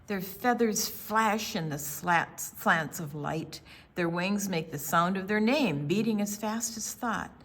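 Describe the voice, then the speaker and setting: An older woman reading a picture book to children as a storytime presenter, with deliberate storyteller phrasing and dramatic pauses. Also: mid-range voice, fairly bright tone.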